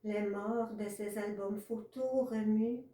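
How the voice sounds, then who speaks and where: Poetry reader: woman, mellow and unhurried delivery, recorded in an echoey room. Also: mid-range voice, steady tone. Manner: measured, pausing between short phrases. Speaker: author reading own poetry. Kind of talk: literary poetry reading. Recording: echoey room, distant from mic.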